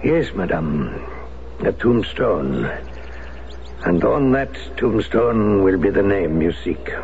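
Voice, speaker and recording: Casting: an ex-resistance fighter, gravelly and low, slow and heavy with guilt, old hissy radio sound. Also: male voice, very animated, a little mellow, heavily acted. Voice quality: gravelly, solemn. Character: grave middle-aged French resistance veteran, guilt-ridden. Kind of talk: 1970s radio drama acting. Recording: dull old radio broadcast with hiss.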